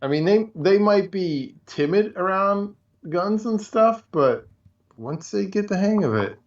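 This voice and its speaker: A man's voice: high voice, expressive, a little slow, far from the mic. A man co-hosting a casual talk show, agreeable and colloquial in his reactions, on slightly dull lo-fi audio.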